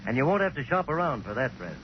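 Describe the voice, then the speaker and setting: A man's voice: medium pitch, big pitch swings, rapid-fire. A man reading a 1940s radio advertisement as announcer, with an emphatic sales delivery. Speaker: commercial announcer. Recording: muffled, hissy 1940s radio recording.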